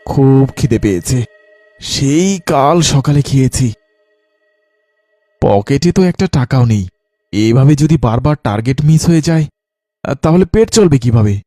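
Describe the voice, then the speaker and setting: A man's voice: medium pitch, expressive, moderate pace, long pauses, mellow, dry recording, clean studio sound, soft background music, close to an everyday voice. A man playing a broke, hungry, brooding street mugger in a cartoon story. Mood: weary, troubled. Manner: grumbling, brooding. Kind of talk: Bengali cartoon voice acting.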